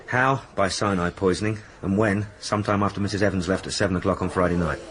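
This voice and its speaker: An actor playing a plain-spoken English police sergeant in a radio drama, deferential and doggedly sensible. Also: male voice, low voice, fairly even intonation, quick pace, fairly bright tone, slight background noise. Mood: puzzled, reluctant. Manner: deferential, down-to-earth. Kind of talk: BBC radio drama acting.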